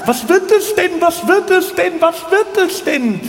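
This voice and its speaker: A man voicing an excitable male doctor who coos and squeals repetitively over a newborn in a stand-up comedy act. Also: extremely high pitch, unhurried, voice pushed up, exaggerated voice. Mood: giddy excitement. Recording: reverberant live hall with audience noise.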